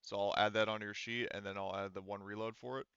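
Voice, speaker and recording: Male game master, casual tabletop banter, forceful bright tone on a slightly lo-fi recording. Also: lowish voice, flat delivery, fast talker, creaky, clear, resonant tone, no room sound.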